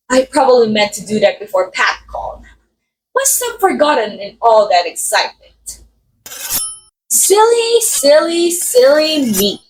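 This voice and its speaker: High female voice, acted, theatrical murderous diva, sweetly menacing, very swooping intonation, ASMR roleplay drama. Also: slight background noise, exaggerated voice, low-quality recording. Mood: coyly menacing. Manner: theatrical, coy, condescending, drawn-out phrasing. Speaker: voice actress in audio roleplay. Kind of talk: ASMR roleplay acting, addressing the listener.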